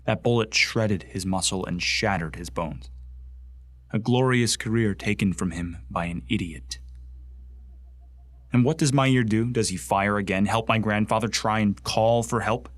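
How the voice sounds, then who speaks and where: An actor playing a bitter, zealous young Martian recruit in a science fiction radio play, speaking with earnest, deliberate contempt. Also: male voice, lowish voice, no echo, natural voice. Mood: scornful, intense. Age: young adult. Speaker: actor in an audio drama.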